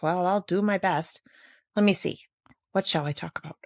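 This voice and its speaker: A woman plays a kind male doctor from a children's storybook, gentle and well-mannered. He speaks softly and politely, with an old-fashioned courtesy. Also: fairly low pitch, normal speed, no room sound, clean studio sound, close to an everyday voice. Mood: mild. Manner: mild, polite.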